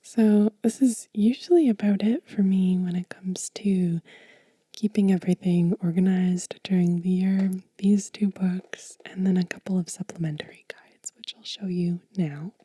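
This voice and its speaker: A woman giving a gentle, informal ASMR show and tell in a breathy, soft whisper, unhurried and close to the microphone. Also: lowish voice, fairly even intonation, no room sound. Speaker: ASMR channel host.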